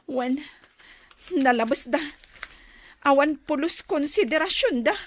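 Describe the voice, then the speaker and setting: Woman as a high-voiced, emphatic village farm wife. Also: animated, measured pace, no room sound. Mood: aggrieved. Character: aggrieved but kind-hearted village farm wife. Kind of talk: radio drama acting.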